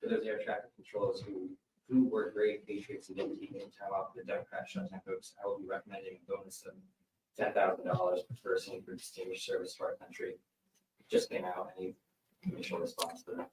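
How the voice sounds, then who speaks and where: A man's voice: on the low side, wildly swooping pitch, brisk, vocal fry, a little mellow. A male reporter at a press conference, away from the microphone in an echoey room, reading out material for a question. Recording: echoey, distant, faint room noise.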